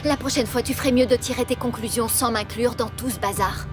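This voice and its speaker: A woman's voice: fairly high pitch, steady tone, brisk, music in the background, close to an everyday voice. An actress playing a young woman singer who firmly defends her career, in a French dub. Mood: indignant.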